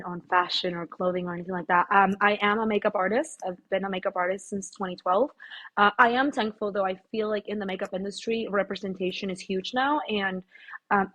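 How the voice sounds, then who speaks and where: A woman's voice: medium pitch, brisk. Woman, from Mexico, podcast guest, casual, emphatic, filler words. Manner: animated, emphatic, frequent fillers. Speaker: podcast guest, fitness apparel founder. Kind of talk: casual interview talk.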